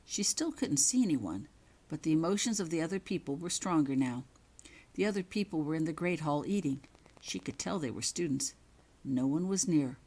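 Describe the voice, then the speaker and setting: A woman reading her own fiction as a scripted, dramatic story narration, in measured, suspenseful short phrases. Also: deep voice, animated, warm tone.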